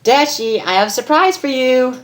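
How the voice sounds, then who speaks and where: A man's voice: extremely high pitch, lively intonation, normal speed, voice pushed up. A man voicing a bouncy, teasing female cartoon pony in a playful story reading. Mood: excited. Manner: playful, singsong.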